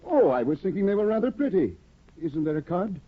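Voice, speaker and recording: An actor playing a fussy, absent-minded scholarly husband who dithers eagerly, in a 1950s radio drama. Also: male voice, high voice, sing-song, brisk, breathy edge, exaggerated voice. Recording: tinny, phone-like 1950 radio broadcast.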